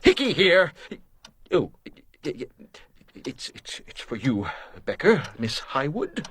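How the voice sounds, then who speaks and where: An actor playing a fussy, bossy, exasperated hotel manager in a radio drama, indignant and officious. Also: male voice, fairly high pitch, huge pitch swings, takes it slowly, a bit bright, exaggerated voice. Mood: exasperated, demanding. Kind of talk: detective radio drama acting.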